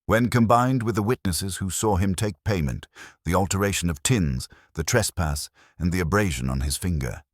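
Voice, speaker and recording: A man's voice: low-pitched, normal speed, no echo, studio quality. A man reading the part of a stern, imperious older detective in formal, clipped, declarative sentences for an audio mystery. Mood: certain, accusing.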